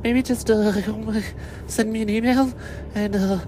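Man putting on a high, bashful, halting voice. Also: fairly even intonation, mellow, a little hiss or hum. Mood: bashful, giggly.

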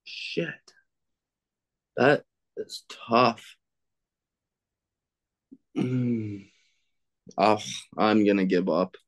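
A man with a fairly low voice chats casually as a podcast guest. He fumbles and hesitates, then swears at himself with self-deprecating humor when he blanks. The recording is dry and close. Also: extremely slow, long pauses, no echo. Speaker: podcast guest, film critic. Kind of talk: casual chat.

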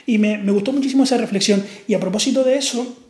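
A man's voice: high voice, fast talker, a little mellow. A man with a Canarian Spanish accent, co-hosting a podcast and telling stories in a reflective, hesitant way. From Canary Islands. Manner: reflective, storytelling, hesitant fillers. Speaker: podcast co-host. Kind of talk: casual conversation. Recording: roomy echo.

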